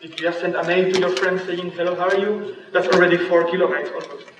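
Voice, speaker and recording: A young man with a strong Spanish accent presents from a big auditorium stage in a fairly high, flat voice. He speaks haltingly, pausing on fillers as he searches for words. The camera catches him from far off, so the sound is dull and washed in long hall reverb. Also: background noise. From Spain. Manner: halting, careful delivery with frequent fillers. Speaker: conference speaker. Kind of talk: technical presentation. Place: large dark auditorium stage.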